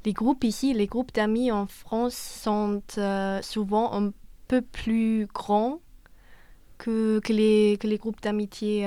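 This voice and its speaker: A woman's voice: medium pitch, darkish tone, smooth, no echo. A woman leading a casual group discussion, hesitant with many fillers and repeated words.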